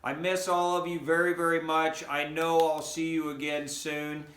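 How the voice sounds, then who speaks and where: Man, middle-aged, fairly high voice, expressive children's storybook reader, school educator, roomy echo. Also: normal speed. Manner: expressive, addressing children directly. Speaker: school educator reading aloud. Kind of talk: children's picture-book read-aloud. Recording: roomy, echoing home recording.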